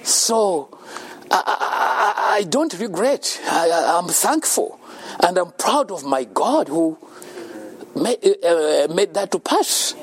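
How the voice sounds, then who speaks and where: A man's voice: sits high, sing-song, slow, breathy edge. A man from Uganda, giving an earnest faith-based talk about being thankful to God and often laughing between his points. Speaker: speaker at a children's home.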